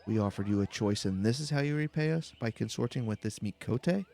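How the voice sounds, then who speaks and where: A man's voice: lowish voice, animated, brisk, vocal fry, mellow. A man voicing a small, indignant fantasy game creature that makes righteous, declamatory accusations. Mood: indignant, accusing.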